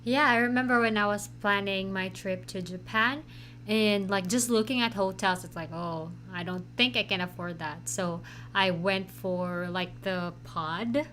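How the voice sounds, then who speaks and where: A woman co-hosting a casual podcast, speaking in an easygoing way with lots of gentle fillers. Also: mid-range voice, fairly even intonation, a little slow, slight background noise. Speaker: podcast co-host.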